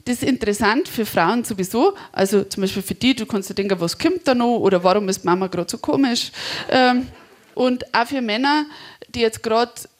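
A woman's voice: a little high, animated, normal speed. A woman in her forties with a Bavarian Regensburg accent and a slightly nasal voice, chatty and punchy, switching between a stand-up set and an interview. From Bavaria, Germany. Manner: chatty, punchy, self-mocking. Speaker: cabaret comedian.

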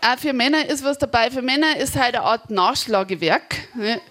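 A woman's voice: on the high side, moderate pace, fairly bright tone, a little hiss or hum. A woman in her forties with a Bavarian Regensburg accent and a slightly nasal voice, chatty and punchy, switching between a stand-up set and an interview. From Bavaria, Germany. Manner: chatty, punchy, self-mocking. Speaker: cabaret comedian.

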